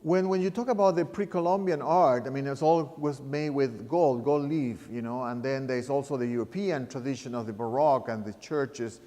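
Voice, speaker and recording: A man in his fifties from Chile, talking reflectively about his work as an artist, halting and often restarting his phrases as he searches for words. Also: medium pitch, warm tone. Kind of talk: reflective interview talk. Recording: reverberant room sound.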